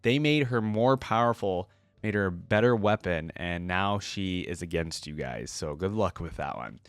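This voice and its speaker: Low-voiced man reading and reacting, dry recording. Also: lively intonation, moderate pace, smooth, dry recording. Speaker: reaction video host. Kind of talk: reading lore aloud with casual reactions.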